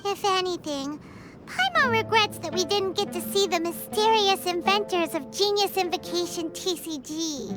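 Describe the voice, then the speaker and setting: A woman voicing a tiny, excitable childlike fairy companion with a squeaky, expressive delivery in a video game. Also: moderate pace, over loud music. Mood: curious. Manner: refers to self in third person, expressive. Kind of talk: English video game voice acting.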